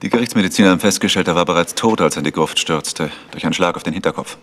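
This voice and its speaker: Ein Schauspieler, der in einem Krimihörspiel einen trockenen, skeptischen Polizeiermittler spielt. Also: male voice, sits low, very fast, fairly bright tone, a little hiss or hum. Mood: dry. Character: dry, sceptical male police investigator. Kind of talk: vintage German radio crime drama acting.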